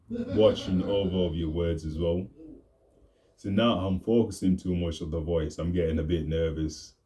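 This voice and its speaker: A young man, talking in a rambling, casual vlog monologue and deliberately pushing his voice deeper. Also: warm tone. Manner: deliberately deepened, laid-back voice. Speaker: vlogger.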